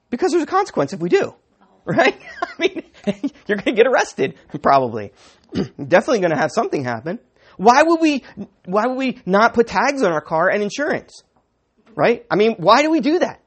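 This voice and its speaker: Sniffly, slightly raspy male pastor preaching. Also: fairly high pitch. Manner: conversational, chuckling, asks the congregation "right?". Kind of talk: sermon.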